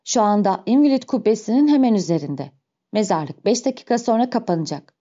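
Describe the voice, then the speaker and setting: A shrewd old gardener who schemes, then turns to anguished muttering with sudden raised cries, as a woman reads him aloud. The tone is mellow and warm. The recording sounds a little dull. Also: medium pitch, animated, brisk, lightly acted. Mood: scheming.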